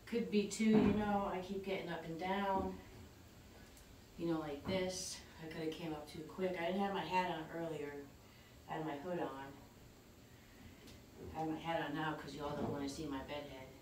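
A woman's voice: low-pitched, steady tone, normal speed, background noise. A middle-aged woman chatting nervously as she vlogs a ghost hunt, swearing casually, filmed in a large old cellar. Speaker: paranormal vlogger. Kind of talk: casual ghost-hunting vlog. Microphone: camera on a tripod, then handheld. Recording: echoey basement from far off.

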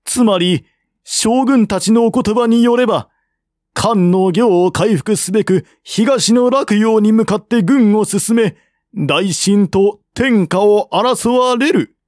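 A man voices a shrewd male envoy in a historical audiobook, reasoning in polite, formal sentences. The voice is lifted high and close, with a dry sound. Courtesy holds throughout as he argues for peace. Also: slow pace, darkish tone, no echo. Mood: pressing, questioning.

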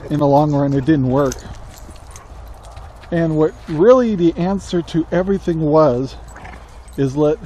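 An older American man in his sixties speaks in a soft-edged, mellow voice that sits a little high. He talks casually to a camera held at arm's length, pausing and picking his words in a plain, reflective way. There is faint outdoor noise around him. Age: 60s. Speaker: country living vlogger. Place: outdoors on a rural yard beside a metal barn.

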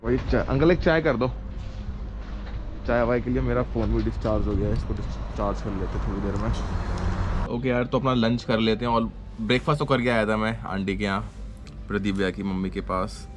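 A man's voice: on the low side, a little fast, background noise. A man in his thirties chatting casually to camera as a travel vlogger, friendly and informal, recorded outdoors on a handheld camera. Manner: friendly, addresses viewers and locals as brother. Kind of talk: casual vlog chat to camera. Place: outdoors on mountain roads and villages.

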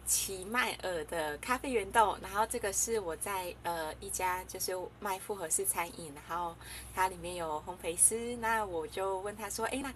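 A woman's voice: mid-range voice, normal speed, a little hiss or hum. Chatty young woman tasting coffee. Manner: chatty, hesitant, with many fillers and giggles. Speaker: vlogger reviewing coffee. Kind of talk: casual chat to camera. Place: small room indoors.

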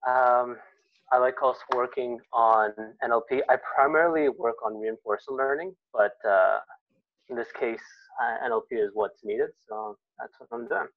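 A man's voice: medium pitch, moderate pace, no echo. Easygoing man on a call, dull lo-fi sound, dry. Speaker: meeting participant. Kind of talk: casual self-introduction on a call.

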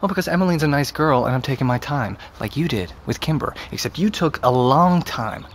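Sardonic American boy of seventeen played by a man, defensive, dry, mid-pitched, audio drama with background ambience. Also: animated, brisk, natural voice. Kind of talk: naturalistic audio drama acting. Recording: clear background ambient noise.

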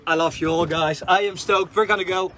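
A man in his thirties with a Dutch accent, giving an excited tour of his sailboat while filming himself. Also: high voice, brisk, noisy background. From Netherlands. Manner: enthusiastic, chatty, addresses viewers as guys. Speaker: sailing vlogger. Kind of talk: casual vlog boat tour. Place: on a sailboat in a harbor, cabin and deck. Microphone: camera at arm's length.

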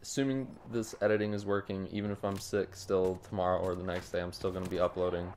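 A young man with a sore throat, his voice hoarse, giving rambling, apologetic voice-over commentary over gameplay. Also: low voice, level tone, fast talker, a little mellow, slight background noise. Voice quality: hoarse, gravelly. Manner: rambling, apologetic, many ums. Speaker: gaming YouTuber. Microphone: home desk mic with a cough drop.

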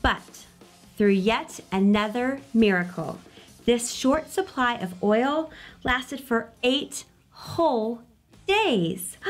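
A middle-aged woman hosting a children's read-aloud, speaking expressively and emphatically with dramatic pauses. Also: on the high side. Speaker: children's storytime host.

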